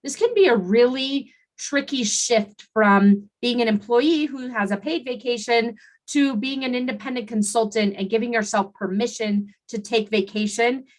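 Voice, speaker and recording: A woman's voice: fairly high pitch, normal speed, poor audio. A woman hosting a solo coaching podcast, talking conversationally with frequent fillers and restarts, her voice recovering after laryngitis. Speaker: podcast host and consultant coach.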